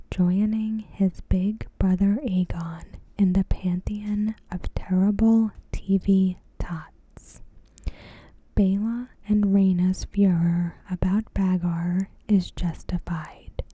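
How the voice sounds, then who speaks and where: A woman ASMR host reads a review in a soft, breathy, unvoiced whisper with a deliberate delivery. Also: medium pitch, no room sound.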